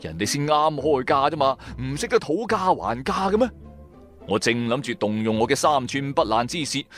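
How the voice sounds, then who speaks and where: A man's voice: mid-range voice, big pitch swings, moderate pace, a bit bright, soft background music. An actor with a Cantonese accent playing a streetwise, wry businessman turned tomb raider, narrating in colloquial first person for a radio drama. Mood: amused, exasperated. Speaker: narrator and main character of an audio drama.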